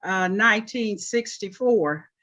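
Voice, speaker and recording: A woman in her seventies, originally from Oklahoma, answering interview questions about her life in an unhurried way with frequent pauses. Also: mid-range voice, bright, forceful tone. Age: 70s. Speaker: interview guest, artist.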